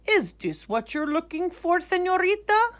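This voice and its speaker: A woman voicing a brisk, helpful laundromat manager in a storybook, with a businesslike delivery. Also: very high-pitched, big pitch swings, voice pushed up, heavily acted. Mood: obliging.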